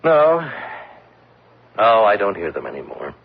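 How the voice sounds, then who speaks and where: A man's voice: lowish voice, huge pitch swings, measured pace, vocal fry, slightly rough, heavily acted. An actor playing a hesitant, wry swindler come home to face his debt, in a radio drama. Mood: wistful. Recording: dull lo-fi old radio recording.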